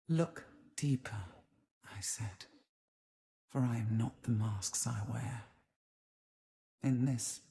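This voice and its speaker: An ancient, cold spider seer from a fantasy video game. Her voice is dark, velvety and hushed, often slipping toward a breathy whisper. She takes her time over every phrase, brooding and portentous, with a seductive menace. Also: rumbling low, heavily acted. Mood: menacing, mysterious. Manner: slow, brooding, portentous, measured. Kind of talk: dramatic scripted game monologue.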